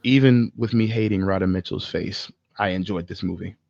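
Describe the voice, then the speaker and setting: A man's voice: deep voice, normal speed, gravelly, a little mellow, no room sound. A man co-hosting a podcast, talking informally with opinions and casual swearing, on a slightly dull microphone. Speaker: podcast co-host. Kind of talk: casual chat about movies and games.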